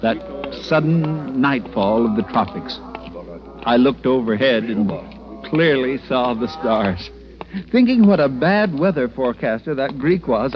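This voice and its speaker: A man's voice: medium pitch, natural voice. Man playing prim middle-aged American tourist, timid, hesitant stammer, old radio drama, muffled sound, music behind. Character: timid, fussy American male tourist recounting his misadventure. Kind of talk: 1940s radio drama acting, first-person narration.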